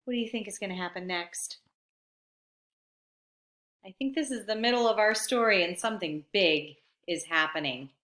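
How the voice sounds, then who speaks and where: A woman reading a picture book aloud to young children in an expressive, teacherly manner, pausing to explain words. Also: mid-range voice. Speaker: storytime reader for young children. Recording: roomy, echoey sound.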